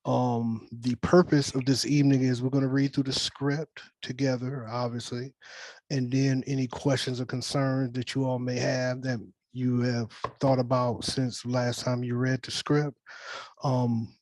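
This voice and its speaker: A man's voice: medium pitch, little pitch movement, unhurried, darkish tone, no echo. Casual, joking man with a dry, close sound. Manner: joking, teasing, colloquial. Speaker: host leading a virtual table read. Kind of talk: casual meeting talk. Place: on a video call. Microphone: video call microphone.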